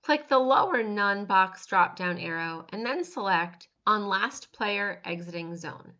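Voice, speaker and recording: A woman reading scripted step-by-step instructions as a tutorial narrator, measured and clearly enunciated. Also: medium pitch, lively intonation, sharp tone, dull audio.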